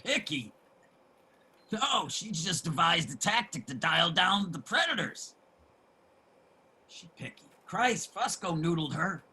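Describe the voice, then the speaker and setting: A man playing a streetwise homeless man in a play reading, generous and opinionated, with salty, emphatic street talk and a husky voice. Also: on the high side, sing-song, takes it slowly, long pauses, pushed and bright, full character voice. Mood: scolding, gossipy. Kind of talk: dramatic table reading of a stage play.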